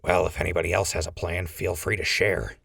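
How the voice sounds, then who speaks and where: A man playing a wry, scheming ghoul who leads a crew, smooth-talking and gravelly, in a post-apocalyptic audio drama. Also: low-pitched, little pitch movement, brisk, sharp tone, no room sound, clean studio sound, exaggerated voice. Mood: confident.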